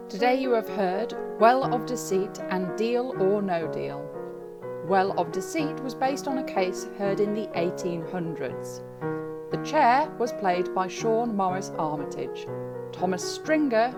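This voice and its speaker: Slow woman announcing credits over piano. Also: medium pitch. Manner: slow, clear reading. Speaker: credits narrator. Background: piano music.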